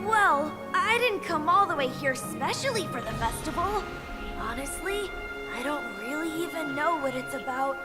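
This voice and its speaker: A bubbly young female video game tourist. Her pitch is extremely high and bounces widely, gushing and emphatic. Loud music plays under her. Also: animated, normal speed. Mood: excited. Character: bubbly, excitable young female festival-goer. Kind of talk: animated video game voice acting.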